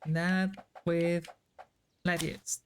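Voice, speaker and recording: A woman's voice: on the low side, level tone, drawn-out words, a little hiss or hum. A woman game streamer reading visual novel dialogue aloud and chatting about it as she plays. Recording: game music behind the voice. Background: game music.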